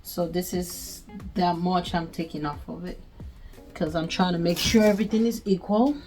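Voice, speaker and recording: Nigerian-accented woman, slightly low voice, casual step-by-step sewing tutorial, thinks aloud. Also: steady tone, moderate pace, darkish tone, faint music behind. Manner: chatty, thinking aloud while working.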